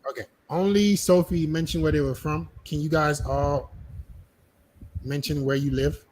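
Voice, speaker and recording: A man's voice: on the high side, steady tone, a little slow, a little mellow, a little hiss or hum, low-quality recording. A man hosting a podcast panel, pressing the guests with questions, often cutting in and repeating himself. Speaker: podcast host. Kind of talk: casual panel discussion.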